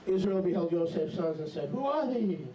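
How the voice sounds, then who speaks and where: A middle-aged man preaching an animated, emphatic sermon into a handheld mic in a small congregation hall. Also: high-pitched, moderate pace. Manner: animated, emphatic, jumps into mocking voices. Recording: echoey hall, camera far off.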